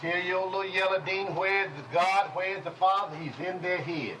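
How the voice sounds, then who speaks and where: A man's voice: high-pitched, normal speed, sharp tone, slight background noise, low-quality recording. Folksy preaching man, call-and-response. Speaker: teacher. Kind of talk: preaching Bible lesson. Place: congregation hall.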